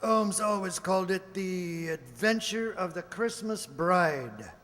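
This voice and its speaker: A man's voice: sits high, lively intonation, takes it slowly, a bit bright, heavily acted. A man playing a genial elderly English doctor in an amateur radio play, chatty, courteous and fond of reminiscing. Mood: storytelling. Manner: chatty, old-fashioned, courteous. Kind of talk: amateur old-time radio play acting. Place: live stage in a hall. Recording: reverberant hall stage recording.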